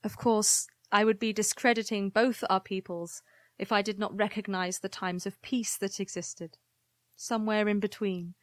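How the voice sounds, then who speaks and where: A woman playing a grave, weary historian of a plant people, giving formal, measured testimony in an audio drama. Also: mid-range voice, steady tone, no echo, close to an everyday voice. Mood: solemn, measured.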